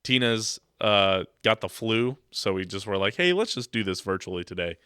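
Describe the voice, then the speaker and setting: A man's voice: lowish voice, moderate pace, a bit bright, smooth, no echo, clean studio sound. A young man with a stuffed-up nose from a cold, hosting a casual sports podcast and rambling with jokes into his microphone. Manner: rambling, joking, loose. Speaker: podcast host.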